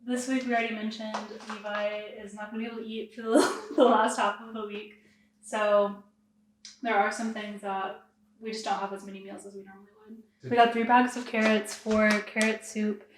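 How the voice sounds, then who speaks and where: A woman's voice: mid-range voice, little pitch movement, a little fast, slight background noise, poor audio. A young woman talking casually to camera in a weekend vlog, chatty and laughing often between sentences. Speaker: vlogger.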